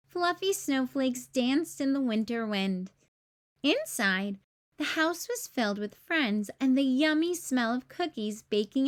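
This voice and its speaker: Female children's storybook reader: high voice, slow careful pace, expressive delivery. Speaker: teacher-style read-aloud host.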